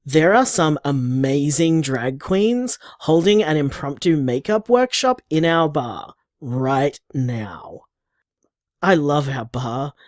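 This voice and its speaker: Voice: medium pitch, darkish tone, dry recording, clean studio sound. An actor playing an affectionate bar co-owner who leaves upbeat voice messages for a partner. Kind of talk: audio drama voice message.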